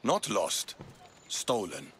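An actor playing a stern, suspicious Spartan army officer in a video game cutscene, with a clipped, commanding manner. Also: male voice, on the low side, sing-song, drawn-out words, a bit bright, slight background noise, full character voice. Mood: stern, terse. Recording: game dialogue over background music.

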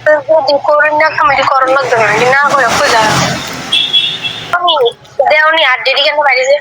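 A woman's voice: sits high, a little fast. A woman with a rural eastern Bengali dialect in a casual domestic phone chat, complaining and arguing back, recorded over a call. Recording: phone call with faint noise.

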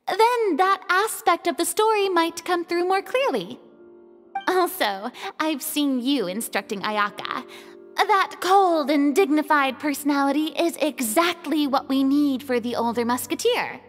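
A woman's voice: sits high, in a large hall. A woman voicing a theatrical, commanding young film director in a video game, with dramatic, grand delivery.

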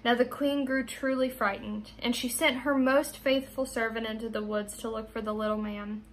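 A woman's voice: fairly high pitch, steady tone, normal speed, slight background noise, low-quality recording. A young adult American woman, a teacher reading and talking expressively to her pupils. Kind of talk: expressive storybook narration.

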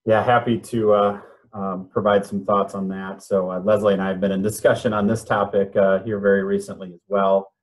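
Deep, flat-toned man, careful and hesitant. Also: a little fast, hardly any pauses. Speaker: city official. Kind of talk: explaining in a remote council meeting. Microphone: phone or video call.

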